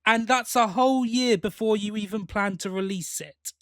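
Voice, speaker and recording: A man's voice: sits high, normal speed, fairly bright tone, no room sound, studio quality. A man co-hosting a podcast, chatting casually about audio dramas, with hesitant starts and repeated words. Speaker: podcast co-host.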